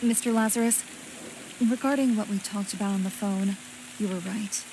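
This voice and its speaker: A woman's voice: medium pitch, moderate pace, natural voice. An actress playing an uneasy, remorseful woman in a video game, speaking formally and earnestly. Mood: contrite. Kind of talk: dramatic video game dialogue. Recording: steady rain noise over the dialogue.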